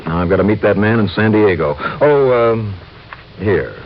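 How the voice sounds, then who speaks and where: An actor playing a wry, hard-boiled insurance investigator in 1950s radio detective style, sardonic and clipped. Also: male voice, fairly low pitch, expressive, normal speed, a little hiss or hum. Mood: softening. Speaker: lead actor and narrator. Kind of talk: 1950s radio detective acting, first-person narration. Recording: muffled old radio broadcast, music behind.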